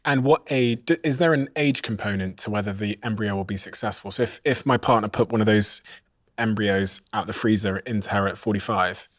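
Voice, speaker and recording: A man's voice: lowish voice, fairly quick, dry recording. Curious man host with dry sound. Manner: curious, casual questioning. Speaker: podcast host. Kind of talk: interview questions.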